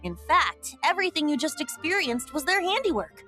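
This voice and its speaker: Courteous young game heroine: composed, highish voice, lively intonation. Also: female voice, brisk, faint music behind, heavily acted. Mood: welcoming. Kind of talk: anime-style game voice acting.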